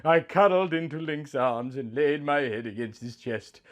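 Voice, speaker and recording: A man's voice: on the high side, lively intonation, moderate pace. A man reading a scripted first-person story as narrator, with music behind him.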